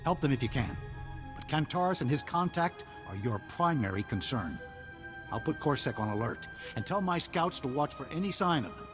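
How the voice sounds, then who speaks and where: A serious military commander in a video game, acted by a man, with a mid-pitched, animated voice. He briefs with clipped authority while music plays behind him. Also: normal speed, natural voice. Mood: serious, commanding. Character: commanding male military officer giving mission briefings, serious. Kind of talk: scripted video game acting. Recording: game cutscene with music underneath.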